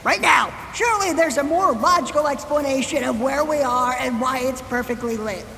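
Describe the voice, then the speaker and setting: A woman's voice: high-pitched, normal speed, a bit bright. A woman voicing an anxious, indignant, brainy youngster in a comedic cartoon parody. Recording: long echo, music behind.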